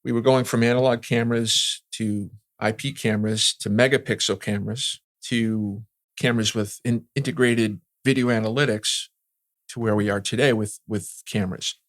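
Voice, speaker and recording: A man's voice: lowish voice, fairly even intonation, normal speed, no echo. A man answering interview questions as an industry expert, explaining things conversationally and often checking in with "right?". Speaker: security and communications industry veteran being interviewed.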